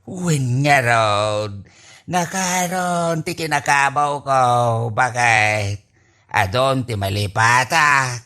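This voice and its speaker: A man's voice: mid-range voice, pushed and bright. A man acting a forgetful, evasive old husband in an Ilocano radio comedy drama, stammering, exclaiming and comically flustered, with an Ilocano accent. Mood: befuddled, evasive. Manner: halting, stammering, full of exclamations.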